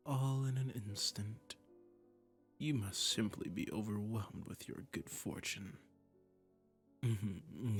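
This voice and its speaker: A man's voice: fairly low pitch, fairly bright tone. A man playing a seductive, commanding demon prince in a romantic roleplay audio drama, speaking in a silky, imperious way and often dropping into a murmur. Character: seductive, commanding male demon prince claiming a captive singer.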